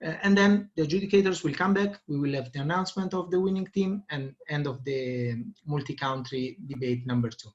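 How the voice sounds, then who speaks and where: Guy moderating a video call debate, kinda high voice, lots of uhs, muffled call audio. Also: fairly quick, few pauses. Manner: hesitant, many fillers. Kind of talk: online meeting moderation.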